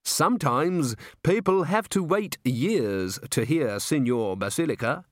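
A man's voice: a little high, expressive, a little slow, no echo, pitched above the performer's own voice. A prim, pompous male opera manager from a storybook, as a male narrator plays him, with a raised, fussy voice. He speaks politely and self-importantly, close and dry. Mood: prim, condescending.

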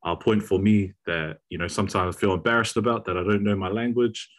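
A young man with a New Zealand accent and a fairly low voice talks as a podcast guest. He speaks hesitantly and reflectively, with plenty of ums and uhs. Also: steady tone, a little fast, fairly bright tone. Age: young adult. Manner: hesitant, reflective, many fillers. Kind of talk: casual podcast chat.

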